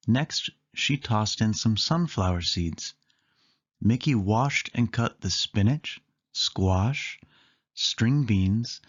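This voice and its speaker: A storyteller reading a children's book aloud in an animated way, lingering on listed words. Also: mid-range voice, husky, highs cut off.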